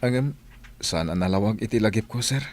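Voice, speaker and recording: Low, hesitant, confused man, acted. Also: animated, normal speed, darkish tone, close to an everyday voice. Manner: hesitant, deferential. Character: confused, polite man who lost his memory. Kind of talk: audio drama acting.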